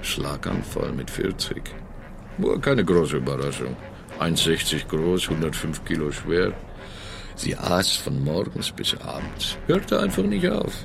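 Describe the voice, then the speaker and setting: An actor playing a menacing middle-aged crime boss in a radio drama, speaking deliberately and sardonically with a gravelly voice. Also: male voice, a bass voice, big pitch swings, exaggerated voice. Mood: grim reminiscing. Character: menacing middle-aged Russian male crime boss, chillingly matter-of-fact.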